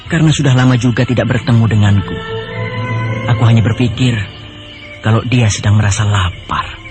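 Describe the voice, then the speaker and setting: A deep, brooding, worried voice, put on by a narrator. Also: fairly quick, close to an everyday voice. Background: loud background music.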